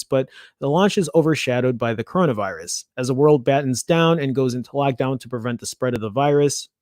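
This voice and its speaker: A man with a Chicago accent hosts a loose tech news show. He reads the article aloud, then drifts into offhand asides and trips over words now and then. His voice sits at an ordinary middle pitch, recorded close and dry with no room sound. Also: darkish tone, dry recording. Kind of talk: casual tech news commentary.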